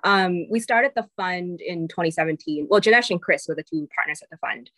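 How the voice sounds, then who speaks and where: A woman's voice: lowish voice, brisk, a bit bright, dry recording. A woman in her thirties from Singapore, with an accent shifting between Singaporean and American English, chatting casually as an interview guest with lots of ums and likes. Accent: mix of Singaporean and American English. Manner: chatty, many fillers like um and like. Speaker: venture capital partner, guest.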